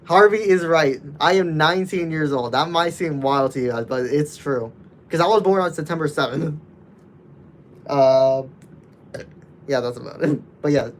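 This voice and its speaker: A man's voice: on the high side, moderate pace. A nineteen-year-old man casually chatting with his livestream viewers, rambling loosely with many restarts.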